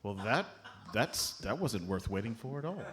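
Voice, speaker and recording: A man's voice: lowish voice, big pitch swings, normal speed, a bit bright. A man playing a cheerful, optimistic entertainer turned sleuth in a live comedy radio play. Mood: eager. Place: live on stage at a comedy club. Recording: noisy live comedy stage recording.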